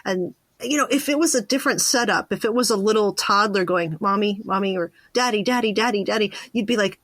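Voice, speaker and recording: A woman hosts a conversational podcast in a mid-pitched voice. She talks informally, thinking out loud as she goes. The sound is dry, with no room around it. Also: fairly quick, dry recording. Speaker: podcast host. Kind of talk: casual conversational interview.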